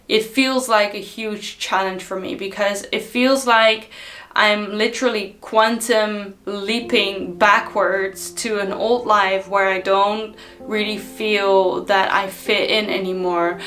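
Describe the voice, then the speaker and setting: Woman, motivational vlog, unhurried reflective delivery, soft guitar music in background. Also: mid-range voice. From Netherlands. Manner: unhurried, reflective, with frequent ums. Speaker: personal development vlogger. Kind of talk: motivational talk to camera.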